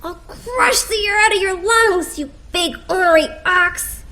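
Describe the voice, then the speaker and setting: A woman's voice: very high-pitched, unhurried, bright, forceful tone, full character voice. A woman voicing a perky, bossy, feisty young cartoon cat who is sweetly pushy. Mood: pleading, threatening.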